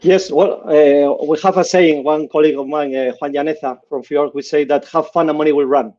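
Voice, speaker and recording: A man's voice: a little high, steady tone, brisk, darkish tone, dull audio. A Spanish man in his fifties, speaking English with a Spanish accent as a panelist, hesitant and often ending sentences with "no?". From Spain. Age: 50s. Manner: hesitant, repeats words, tags sentences with "no?".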